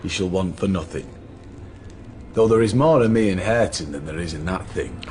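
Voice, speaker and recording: An actor playing a cruel, menacing master of a moorland house in a radio drama, commanding, sneering and scornful. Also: male voice, low-pitched, expressive, normal speed, a little mellow, soft background music.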